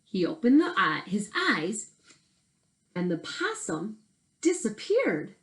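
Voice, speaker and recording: A middle-aged woman reads a children's story aloud at home. Her pitch moves widely, and she speaks conversationally, as if straight to young listeners. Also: sing-song, slow, fairly bright tone. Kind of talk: casual chat and storybook reading to children. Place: indoors in a bedroom at home.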